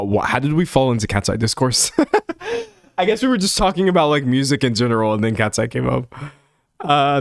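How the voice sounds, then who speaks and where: A man's voice: medium pitch, dry recording, studio quality. A young man from San Antonio with a Texan American accent, chatting casually on a livestream, reading chat comments and laughing at himself. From San Antonio, Texas. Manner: rambling, self-deprecating, laughs often. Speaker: streamer.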